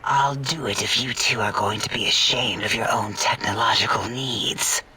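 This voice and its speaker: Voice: mid-range voice, moderate pace, sharp tone, distant mic, slight background noise, lightly acted, low-quality recording. A performer voicing a sultry, doting, clinical robotic scientist in a sci-fi comedy game, coy and whispery.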